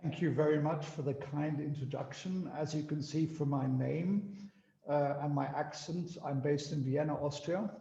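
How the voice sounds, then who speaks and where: A man with a gravelly, dark voice speaks from far off a video call microphone. The room sounds echoey and the audio is dull and lo-fi. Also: on the high side, steady tone, normal speed. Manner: apologetic, struggling with audio. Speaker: company chief executive.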